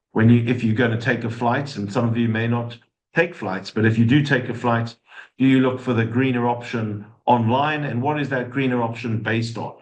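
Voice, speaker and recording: Halting South African man on a video call. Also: medium pitch, poor audio. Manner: halting, many fillers and restarts. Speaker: speaker. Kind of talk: online talk to an audience. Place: office with glass walls. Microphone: video call webcam.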